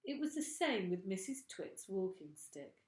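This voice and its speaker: Slow, expressive woman reading aloud. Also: medium pitch, reverberant. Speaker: teacher. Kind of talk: reading a storybook aloud to children.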